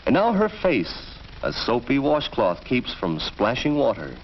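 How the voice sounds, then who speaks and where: A man's voice: mid-range voice, huge pitch swings, unhurried. An American man narrating a 1940s educational film from a script, in an instructive, storytelling manner. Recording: dull vintage film soundtrack, faint noise.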